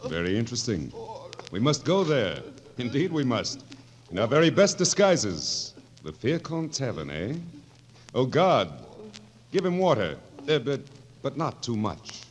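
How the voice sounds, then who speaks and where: A man's voice: mid-range voice, wildly swooping pitch, a little slow. An actor playing a sly, cruel king with mock-genial, wheedling menace, in 1940s radio style. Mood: gleeful scheming. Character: sly, cruel, sardonic old-world king of France.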